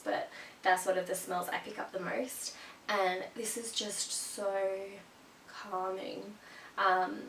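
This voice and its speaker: A woman's voice: mid-range voice, fairly bright tone. A young woman with a cold, a little sniffly, chatting casually to her viewers with lots of ums. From Australia. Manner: chatty, gushing, many ums. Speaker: vlogger. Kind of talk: casual product chat to camera. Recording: roomy, from a distance, faint hum.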